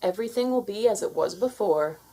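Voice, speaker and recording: A woman's voice: mid-range voice, expressive, brisk, warm tone, natural voice, rough recording. A woman voicing a kindly but commanding fairy godmother in a children's storybook. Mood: warning.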